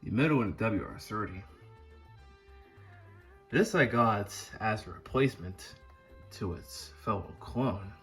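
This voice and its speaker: A man giving a casual show-and-tell narration as a hobby video host, with a husky voice and a halting, rambling manner. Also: fairly low pitch, lively intonation, faint music behind.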